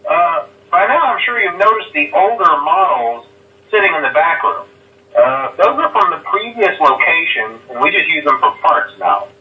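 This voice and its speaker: A man's voice: a little high, very animated, normal speed. An actor playing a chatty, nervous male company phone messenger in a horror game, rambling with lots of "uh" fillers and awkward chuckles. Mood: upbeat nervous. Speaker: phone guy giving night guard instructions. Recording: tinny phone line, echoey and distant.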